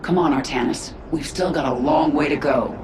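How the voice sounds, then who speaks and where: A woman's voice: low voice, normal speed, noisy background, lightly acted. An actress voicing a confident, sardonic alien queen in a video game, with a dry, casual delivery. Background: loud game music.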